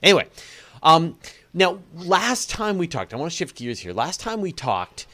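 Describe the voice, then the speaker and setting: A man hosting a conversational interview, talking in an animated way with restarts and repeated phrases. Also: on the high side, moderate pace. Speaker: interview host. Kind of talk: conversational interview about space.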